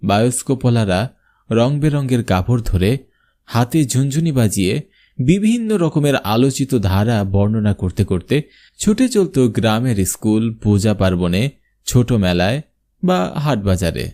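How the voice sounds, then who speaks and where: Mellow man narrating with lively pitch. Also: moderate pace. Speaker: cartoon narrator and presenter. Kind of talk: scripted narration.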